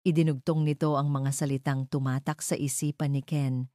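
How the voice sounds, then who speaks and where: Female storyteller for scripted audio drama. Deep, mellow delivery, very dry sound. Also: little pitch movement, moderate pace, no echo.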